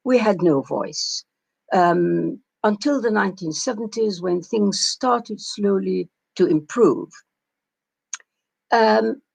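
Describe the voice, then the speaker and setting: Slow, gravelly older woman from Palestine. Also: medium pitch. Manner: measured, reflective, with many pauses and fillers. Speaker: keynote speaker. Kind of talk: conference keynote talk.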